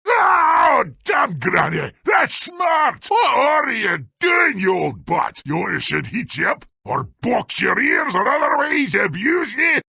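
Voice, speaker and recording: An actor voicing a rough, loud-mouthed, irritable devilish rogue in a fairy tale game, coarse and blustering. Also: male voice, extremely high pitch, huge pitch swings, slow pace, hardly any pauses, dry recording, studio quality, exaggerated voice. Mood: outraged.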